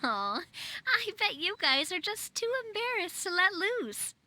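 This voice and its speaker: A woman's voice: extremely high pitch, big pitch swings, unhurried, pushed and bright, dry recording, exaggerated voice. A woman voicing a confident, outgoing and playful young lady boss in a video game, exuberant and friendly. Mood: enthusiastic, persuasive. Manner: exuberant, friendly, self-assured. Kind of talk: video game character dialogue.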